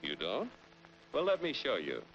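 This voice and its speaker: Confident american narrator for an old educational cartoon, very animated pitch, teachy and persuasive, muffled 1940s film sound. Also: male voice, moderate pace. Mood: patient, instructive. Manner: didactic, persuasive, polished. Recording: muffled vintage film soundtrack, loud music.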